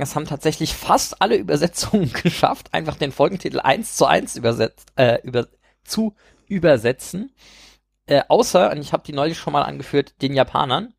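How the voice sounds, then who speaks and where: A man's voice: medium pitch, gravelly, no room sound, clean studio sound. A man co-hosting a casual podcast discussion, rambling with many fillers and laughing at his own jokes. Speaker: podcast co-host.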